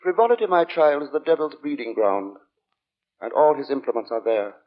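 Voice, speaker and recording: Solemn, sinister religious fanatic. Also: male voice, fairly high pitch, big pitch swings, a little fast. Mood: preaching. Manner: solemn, preachy, formal. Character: fanatically pious, sinister gentleman lodger, preaching against sin. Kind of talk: 1940s radio drama acting. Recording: dull, noisy vintage radio recording.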